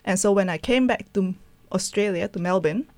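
A young woman with a Malaysian accent answering interview questions in a hesitant, halting way, often repeating words. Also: medium pitch, darkish tone, dry recording. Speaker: dance student and interview guest.

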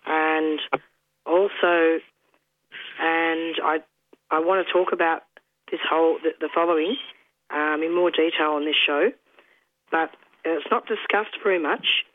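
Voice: medium pitch, steady tone, measured pace, pauses often, no room sound, phone-quality sound. A radio host running an interview, speaking casually with loose phrasing and many fillers.

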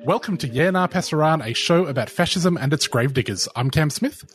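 A man's voice: a little high, animated, a little fast, soft background music. A man from Australia co-hosting a community radio show, asking friendly, conversational questions. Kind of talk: hosting an interview.

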